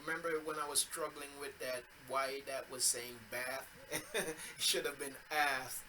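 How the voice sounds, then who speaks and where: A man teaching a study group over a video call. His explanations are patient and unhurried, with plenty of ums and repeated words. Steady background noise runs under the noisy call audio. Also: mid-range voice. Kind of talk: online scripture study.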